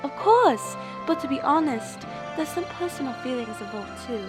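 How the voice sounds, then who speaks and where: Woman acting a high-voiced, earnest young female analyst. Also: expressive, normal speed, over loud music. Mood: candid, reflective. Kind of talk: audio drama acting.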